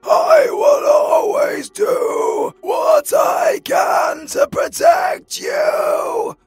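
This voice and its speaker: A man's voice: extremely high pitch, very animated, extremely slow, no room sound, soft background music, a faint crowd, full character voice. A British man playing a tormented, starving undead husband in a horror audio roleplay, crying and pleading in anguish. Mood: tearful remorse. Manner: anguished, melodramatic pleading in broken shouts.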